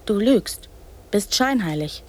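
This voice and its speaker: An actress playing an imaginative, bossy little girl in a radio drama, often dropping into secretive whispers and then insisting loudly. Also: mid-range voice, expressive, takes it slowly, slight background noise. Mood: bossy, excited. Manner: hushed, secretive whispering broken by sudden loud insistence.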